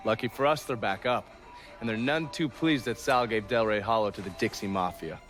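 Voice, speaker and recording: An actor playing a wry, foul-mouthed American intelligence operative, breezy and irreverent, in a video game cutscene. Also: male voice, lowish voice, brisk, music in the background, lightly acted. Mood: wry, businesslike.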